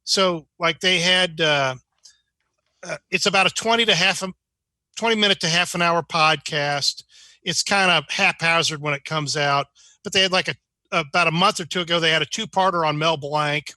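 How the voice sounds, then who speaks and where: A man's voice: on the high side, fairly even intonation, normal speed, sharp tone, dry recording. A man chatting casually on an online group meeting, rambling and rephrasing as he goes. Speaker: club member.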